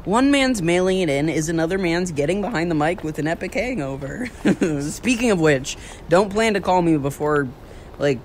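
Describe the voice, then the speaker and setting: A young American man playing a brash, excitable slacker college student in a naturalistic audio drama. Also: on the high side, normal speed, a little hiss or hum. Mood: casual, boastful. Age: young adult.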